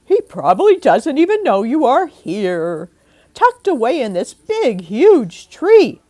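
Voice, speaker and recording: Storybook chipmunk aunt played by an older woman: wise, brisk, cheerfully bossy, loud declaiming with big swoops in pitch. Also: wildly swooping pitch, heavily acted. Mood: firm, reassuring. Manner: loud, emphatic, drawn-out declaiming.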